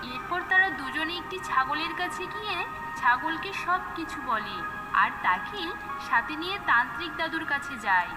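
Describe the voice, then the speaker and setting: A woman's voice: high voice, animated, a little slow. A woman reading scripted narration for a children's cartoon story. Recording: echoey, distant, under loud music.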